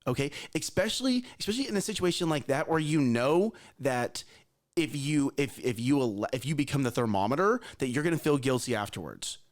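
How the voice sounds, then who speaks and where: A man's voice: mid-range voice, animated, fairly quick. A man in his thirties, co-hosting a morning show, tells a personal parenting story in an earnest, repetitive way and speaks straight to listeners. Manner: earnest, repeats himself, addresses listeners directly.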